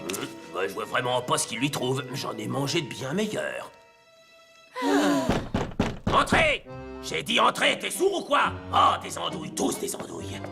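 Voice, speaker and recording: A man voicing a grumpy, bossy kitchen master in a fairy-tale cartoon, with a creaky voice and a scornful, barking manner. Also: medium pitch, wildly swooping pitch, unhurried, long pauses, over loud music, exaggerated voice. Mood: irate. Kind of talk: animated fairy-tale dub.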